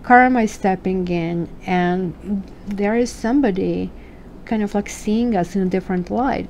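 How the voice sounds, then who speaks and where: A woman's voice: mid-range voice, mellow, slight background noise. A woman from Croatia with a Croatian accent, reading tarot cards in a chatty, musing way and asking questions aloud. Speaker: tarot reader.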